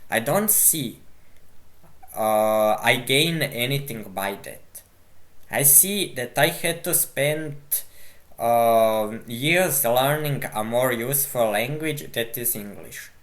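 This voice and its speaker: Man, slow deliberate delivery, blunt and argumentative, commenting on a video. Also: mid-range voice, a bit bright. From Croatia. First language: Croatian. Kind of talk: responding to a video.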